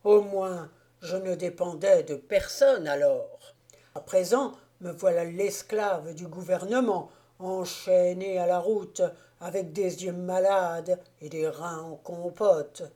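A woman voicing a hungover, grumbling middle-aged road mender who complains loudly and drags out his words. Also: lowish voice. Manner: loud, drawn-out complaining. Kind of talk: audiobook character voice.

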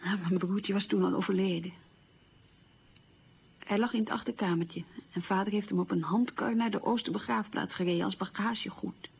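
A woman's voice: medium pitch, fairly even intonation, normal speed, gravelly, a little hiss or hum, lightly acted. An actress playing a middle-aged woman who recalls wartime hunger in a plain-spoken, emphatic way, in a radio drama monologue. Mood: grave, bitter. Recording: dull, muffled old radio recording.

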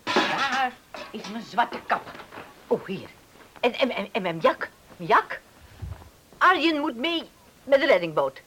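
An actress playing a brisk, bossy farm mother from the coast, in an urgent, commanding radio drama style. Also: fairly high pitch, very animated, pushed and bright, heavily acted. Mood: bustling, bossy. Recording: noisy old radio play recording.

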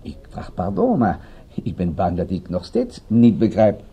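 An actor playing a courteous French police inspector in a radio drama, with a French accent and hesitant, formal, halting phrasing. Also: male voice, sits low, animated, warm tone, husky, full character voice. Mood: puzzled. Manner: hesitant, formal, searching for words.

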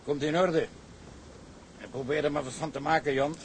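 An actor playing a plain-spoken, critical friend of a sailor in a radio drama, talking colloquially. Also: male voice, on the high side, fairly even intonation, moderate pace, slight background noise, natural voice. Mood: reassuring.

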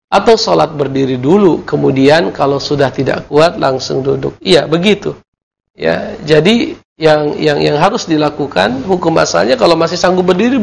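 A man teaching Islamic law on a call-in television program, explaining calmly with Arabic terms and frequent fillers. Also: on the high side, creaky. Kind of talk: televised religious lesson with call-in questions.

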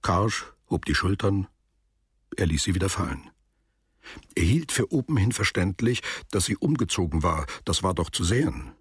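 A man's voice: deep voice, lively intonation, moderate pace, slightly rough, no echo, clean studio sound. A man reading literary prose as the scripted narrator of a radio drama.

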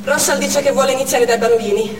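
Actress as female doctor and mother, anxious yet determined, higher voice, theatrical monologue, noisy reverberant hall. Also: fairly even intonation, fast, recorded from a distance, close to an everyday voice. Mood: urgent. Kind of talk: dramatic stage monologue in present tense. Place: on stage in a theatre.

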